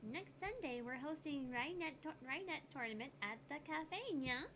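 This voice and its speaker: Woman putting on a high-pitched cutesy cat-girl maid. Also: expressive, moderate pace, a bit bright, a little hiss or hum. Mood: bubbly, inviting. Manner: adds cat-like nya sounds to sentences.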